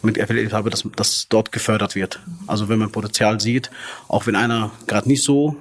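A man's voice: fairly low pitch, fairly even intonation, brisk. A young man, a restaurant manager, talking casually in an interview with frequent fillers and restarts.

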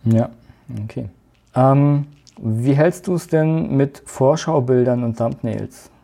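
Gravelly, mellow podcast host. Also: male voice, mid-range voice, takes it slowly. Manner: brief affirmations, calm questions. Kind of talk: podcast interview.

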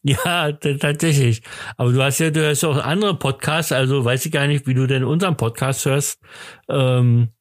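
A man's voice: medium pitch, fairly even intonation, normal speed, no room sound. A middle-aged man chats casually as a podcast host in a mid-range voice. He rambles and teases, sounding slightly tipsy. The recording is dry, with very little room sound. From Germany. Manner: rambling, teasing. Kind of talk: casual chat.

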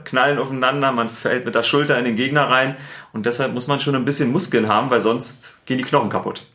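A man with a Berlin accent, a sports TV presenter and editor, answering interview questions in a relaxed, chatty way with many fillers. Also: mid-range voice, fast, reverberant. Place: studio.

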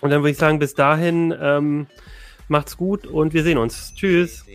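A man's voice: on the high side, steady tone, moderate pace. A man from southern Germany with a southern German accent, hosting a casual roundtable podcast and talking with many fillers and restarts. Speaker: podcast host.

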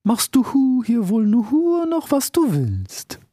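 A man voicing an old male turtle scholar who speaks very slowly and gravely. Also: very high-pitched, wildly swooping pitch, mellow, dry recording, clean studio sound, voice pushed up, full character voice. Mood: solemn. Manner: very slow, measured, grave. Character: slow, solemn, aged male turtle scholar.

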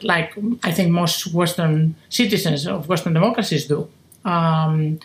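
A middle-aged woman with a Spanish accent, answering as an academic interview guest in a thoughtful, earnest, conversational way. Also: on the low side. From Spain. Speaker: guest, professor of philosophy.

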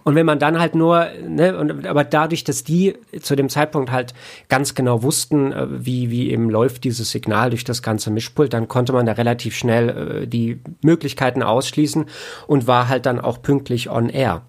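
A man's voice: medium pitch, fairly even intonation, normal speed, creaky. A man talking casually as an interview guest, explaining his audio engineering work in a rambling, colloquial way and often rephrasing himself.

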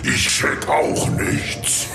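An actor voicing a giant, boastful, menacing virus monster in a comic audio drama, gravelly and bellowing. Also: male voice, very slow. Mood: furious. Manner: bellowing, threatening. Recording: echoey, heavy music behind.

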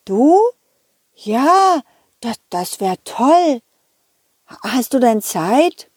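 A small, shy young boy from a children's audio play, played by a woman, speaking in a childlike, hesitant way with little stammers. His voice is high and bouncy. The recording is close and dry. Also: sing-song, very slow, a little mellow, breathy edge, dry recording, full character voice. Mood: eager.

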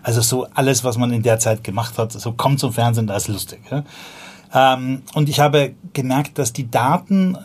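A man's voice: medium pitch, a little mellow, slight background noise. A man in his forties with a Viennese accent, a podcast guest talking casually in a loose, slangy way, full of fillers and restarts. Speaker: podcast guest, startup founder. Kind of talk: casual conversational storytelling.

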